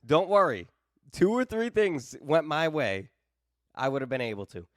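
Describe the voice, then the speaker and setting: A man's voice: a little high, moderate pace, no room sound, clean studio sound. A man in his thirties hosting a podcast, talking in a flippant, teasing way and telling stories at length. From New England. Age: 30s. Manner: teasing, flippant, animated storyteller. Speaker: podcast host. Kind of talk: casual chat.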